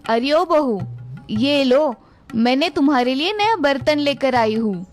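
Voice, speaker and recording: Woman as old mother-in-law, greedy, scheming, high voice, cartoon story, faint background music. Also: lively intonation, unhurried. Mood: calling out. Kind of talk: Hindi cartoon story voice acting.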